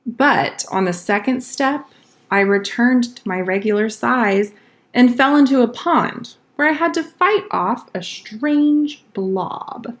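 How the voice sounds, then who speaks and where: A woman's voice: a little high, expressive, slow pace. A woman voicing a schoolboy from a storybook, earnestly telling tall-tale excuses. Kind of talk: storybook read-aloud.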